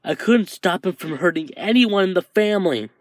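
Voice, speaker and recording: A man's voice: fairly even intonation, a little slow, creaky, a bit bright, no room sound, clean studio sound. Man voicing a guilt-wracked young brother. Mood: anguished. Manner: pleading, rising in pitch, breaking. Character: guilt-ridden young man blaming himself.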